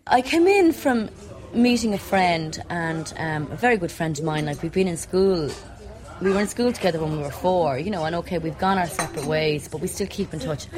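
A woman's voice: fairly low pitch, animated, fairly quick, darkish tone. A chatty Irish woman in her thirties from Dublin, telling stories animatedly and laughing often. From Ireland, Dublin. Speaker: wife moving house. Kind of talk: casual chat.

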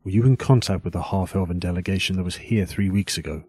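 A man playing a stern but caring priest and father in an audio drama, speaking with grave, measured authority. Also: sits low, dark tone, husky, dry recording, clean studio sound. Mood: stern, formal.

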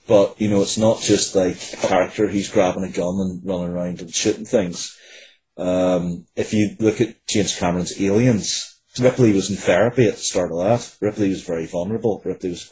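A man's voice: deep voice, warm tone, slightly rough, poor audio. A man with a Northern Irish accent talking earnestly and reflectively as a writer being interviewed. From Northern Ireland. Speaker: guest, writer. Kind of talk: conversational interview talk.

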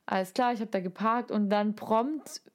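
A woman's voice: mid-range voice, normal speed. A woman telling anecdotes in a casual, enthusiastic, playful way, using slangy words as a podcast co-host.

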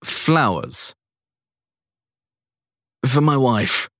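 A man's voice: mid-range voice, big pitch swings, very slow, a bit bright, no room sound, clean studio sound, voice pushed up, full character voice. A man voicing a weary, guarded courier in a crime audiobook, answering briefly and hesitantly to placate authority. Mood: nervous, placating. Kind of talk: audiobook crime story character voice.

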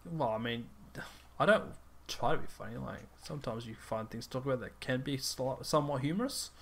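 A man's voice: mid-range voice, expressive, a little fast, vocal fry. Australian young man, casual rambling chat. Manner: rambling, laughs often, tired. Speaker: podcast co-host.

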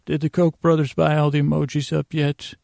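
A man with a very creaky, gravelly voice, pitched a little high for a man. He rambles through an improvised bedtime monologue, halting and drifting off into digressions. The sound is close and dry. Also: little pitch movement, darkish tone, no room sound. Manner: meandering, halting, with pauses and trailing digressions. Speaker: host of a bedtime podcast.

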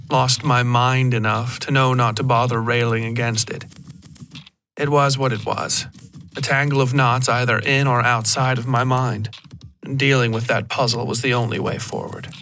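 A man reading a scripted horror story as a podcast narrator, in a measured, literary delivery with deliberate pauses. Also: medium pitch, a bit bright, a little hiss or hum.

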